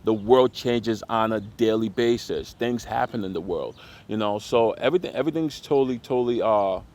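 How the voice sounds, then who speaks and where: A man's voice: fairly low pitch, moderate pace, a little mellow. A man hosting a casual real estate interview, rambling a little and backing up his guest with frequent "mm-hmm"s. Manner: constant "mm-hmm" backchannels, rambling. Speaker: podcast host, real estate agent.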